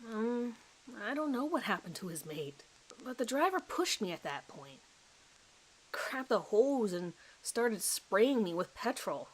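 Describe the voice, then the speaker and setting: A woman's voice: medium pitch, fairly bright tone, poor audio. Woman acting a panicked, stammering male suspect. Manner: halting, stammering. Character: panicked, bewildered male suspect pleading innocence under questioning. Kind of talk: audio horror drama reading.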